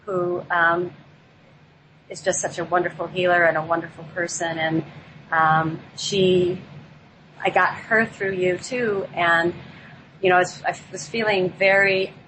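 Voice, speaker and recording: Woman guest talking unscripted, kinda halting, sharp bright tone, bit of noise behind her. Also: lowish voice, low-quality recording. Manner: halting, hesitant, searching for words. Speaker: guest presenter on a talk show. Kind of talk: unscripted talk introducing a play.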